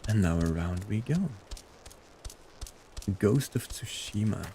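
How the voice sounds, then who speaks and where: A man's voice: on the low side, animated, slow, warm tone, slight background noise. A man chatting casually and playfully with viewers as he plays and comments on a game on a live stream.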